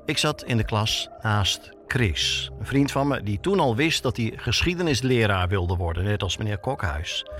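A man with a Netherlands Dutch accent narrates a scripted podcast as a reporter and host. His pitch moves in a lively way as he tells things personally and asks rhetorical questions. Music plays clearly behind him. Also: moderate pace.

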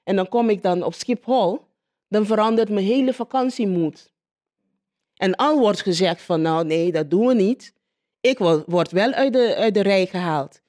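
A woman giving a spontaneous personal account in an interview, haltingly, repeating phrases as she searches for words. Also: medium pitch, animated, darkish tone. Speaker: interviewee, local politician.

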